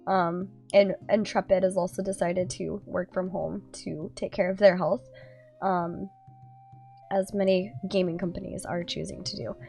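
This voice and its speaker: A woman's voice: fairly low pitch, fairly even intonation, normal speed, creaky, dark tone, soft background music. A young woman with a cold, sounding nasal and giggly as she co-hosts a casual gaming podcast, full of fillers. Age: young adult. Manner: giggly, chatty, many fillers. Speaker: podcast co-host.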